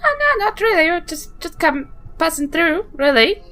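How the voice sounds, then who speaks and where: Kiwi woman doing a fussy old granny in a dnd game, blunt, sharp and really up and down. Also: very high-pitched, lively intonation, normal speed, reverberant, pitched above the performer's own voice, exaggerated voice. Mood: wary, dismissive. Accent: New Zealand. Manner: dithering, blunt, politely dismissive. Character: brisk, skeptical old granny adventurer.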